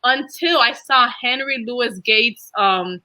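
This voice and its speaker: Bright woman moderating a panel. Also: fairly high pitch, slow. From Dominican Republic. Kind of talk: casual panel hosting and personal storytelling.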